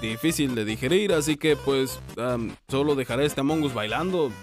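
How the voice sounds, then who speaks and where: A man's voice: mid-range voice, moderate pace. A man with a Mexican accent narrating a comedic explainer as host, rambling and theatrically exasperated, making fun of himself. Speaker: video creator and host. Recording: music behind the voice. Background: background music.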